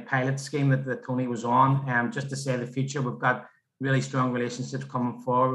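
A man's voice: medium pitch, pushed and bright. A man presenting as a programme coordinator on a roomy, echoing video call. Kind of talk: online presentation.

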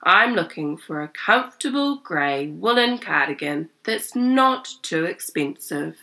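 A woman voicing a polite, weary, grumbling old grandpa in a slow, plaintive way for a storybook. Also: lowish voice, big pitch swings, creaky, sharp tone, heavily acted.

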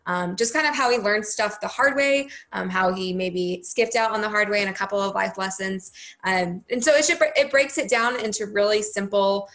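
A young woman talks through a book summary on a video call, relaxed and loose with frequent fillers. Her voice sits at a typical middle pitch for a woman. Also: moderate pace. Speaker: book review co-host. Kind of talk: casual video-call chat. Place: room at home. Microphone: video call laptop.

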